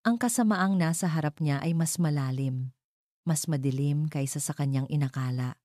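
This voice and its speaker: A woman's voice: low-pitched, normal speed, dark tone, no echo, studio quality. A woman giving dramatic scripted narration of a story.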